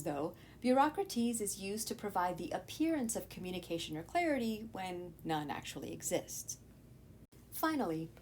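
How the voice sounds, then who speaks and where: A woman's voice: mid-range voice, a little mellow, a little hiss or hum. A woman teacher reading a scripted educational narration, explaining things and speaking directly to her students.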